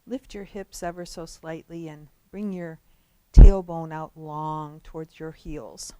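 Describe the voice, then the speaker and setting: A woman leading a guided relaxation as a meditation instructor, speaking gently and without hurry, with long pauses between phrases. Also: sits low, no echo, low-quality recording.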